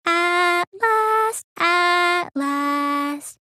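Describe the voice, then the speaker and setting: A woman's voice: extremely high pitch, very slow, pushed and bright, heavily acted. A woman voicing a sluggish, stubborn, gullible teenage girl magician in an English video game dub, with a lazy, childish drawl that turns whiny. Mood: eerie chanting.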